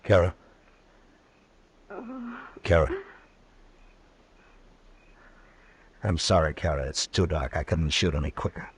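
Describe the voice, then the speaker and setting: An actor playing a steady, terse American frontier lawman, grimly weary, in 1950s radio drama style. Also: rumbling low, normal speed, slightly rough, heavily acted. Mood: somber, regretful. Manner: terse, commanding. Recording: noisy old radio recording.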